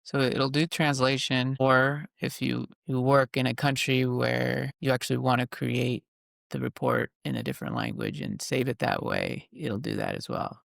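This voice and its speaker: A man's voice: medium pitch, moderate pace, vocal fry, a bit bright, no echo, studio quality. A man hosting a conversational interview, recapping points and checking them with questions. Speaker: podcast host interviewing a guest. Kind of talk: conversational interview about technology.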